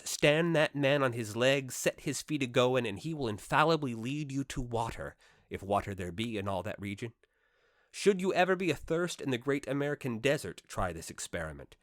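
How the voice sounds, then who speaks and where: A man with a mid-pitched voice reads classic literature as an audiobook narrator. His delivery is expressive and rhetorical, with measured phrasing. The sound is close and dry, free of room echo. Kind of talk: dramatic literary audiobook reading.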